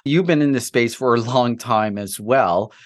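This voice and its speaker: A man whose first language is French, co-hosting a podcast and talking through hiring topics in a thoughtful, measured way. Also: mid-range voice. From Canada. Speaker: podcast co-host. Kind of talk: casual conversation about recruiting.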